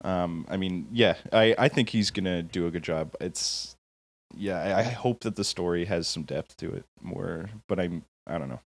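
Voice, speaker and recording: A man's voice: low-pitched, moderate pace. A man chatting casually as a podcast host, rambling a little and often correcting himself mid-thought. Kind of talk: casual opinionated group chat.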